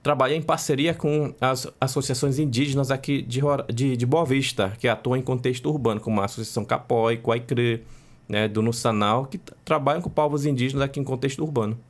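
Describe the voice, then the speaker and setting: A man whose first language is Macuxi speaks as an interview guest, with a voice that sits mid-range. He lays out long lists patiently and adds "né?" between items. His delivery is measured and steady. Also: medium pitch. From Roraima, Brazil. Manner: measured, listing facts with frequent "né?" tags. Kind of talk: interview answers.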